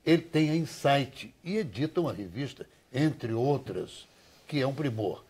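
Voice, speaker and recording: A man's voice: mid-range voice, animated, a little slow, darkish tone, slightly rough. An older man from Rio with a Carioca accent, explaining things as a talk show guest in an erudite, effusive way with ornate phrasing. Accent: Carioca Brazilian Portuguese. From Brazil (Rio de Janeiro). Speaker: talk show guest, magazine and almanac presenter. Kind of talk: conversational promotional explanation.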